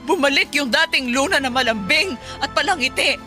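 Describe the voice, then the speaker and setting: Worried stepmother, high bright voice, stern yet loving, pleading, radio drama over music. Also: animated, a little fast. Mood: hurt, pleading. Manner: pleading, scolding. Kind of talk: Tagalog radio drama acting. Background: background music.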